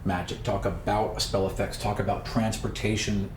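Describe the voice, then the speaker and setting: Male game master, conversational, talks players through rules and scenes, echoey room with clear background noise. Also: fairly low pitch, flat delivery, normal speed. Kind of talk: narrating a tabletop game.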